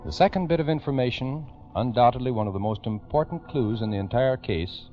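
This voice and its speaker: A man's voice: mid-range voice, fairly quick, lo-fi sound, faint music behind. A man narrating a 1940s radio crime program in a grave, emphatic, urgent manner on an old recording. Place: radio studio.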